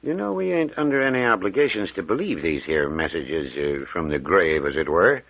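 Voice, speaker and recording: An actor playing a folksy, steady country doctor with a rural American accent in an old radio thriller. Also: male voice, low-pitched, moderate pace. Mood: wary, skeptical. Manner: homespun, drawling phrases. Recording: dull, lo-fi old radio recording.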